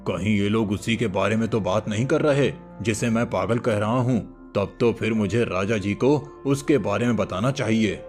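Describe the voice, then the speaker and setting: A man's voice: lowish voice, normal speed, few pauses, a little mellow, faint music behind, natural voice. A man voicing an honest village cowherd in a cartoon folk tale, speaking politely and earnestly. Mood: pondering.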